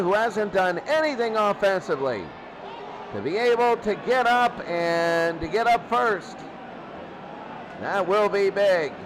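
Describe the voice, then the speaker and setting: A man calling simulated football games as a play-by-play announcer, his voice jumping up and getting loud on big plays. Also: high-pitched, noisy background. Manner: excitable, voice jumps up and gets loud on big plays. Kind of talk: simulated radio play-by-play commentary.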